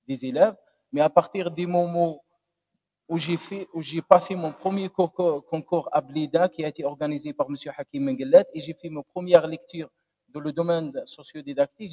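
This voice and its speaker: A man's voice: a little high, a little mellow. Man defending his thesis, reverberant hall. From Algeria. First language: Algerian Arabic. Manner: measured, explanatory academic tone. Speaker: doctoral candidate. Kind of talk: answering a thesis jury. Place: university lecture hall.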